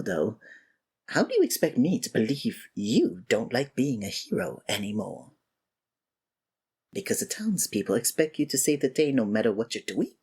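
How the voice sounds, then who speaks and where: A woman performing a scripted villain roleplay, breathy and theatrical, exasperated and swinging abruptly between registers. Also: rumbling low, a little mellow. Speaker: ASMR voice actor playing a villain.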